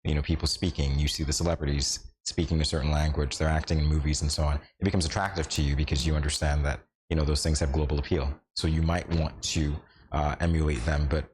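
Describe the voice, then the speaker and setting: A man co-hosting a morning TV show, chatting in a relaxed, reflective way from the studio. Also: extremely low pitch, quick pace, husky. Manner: relaxed and reflective, chuckles easily. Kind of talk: casual studio chat.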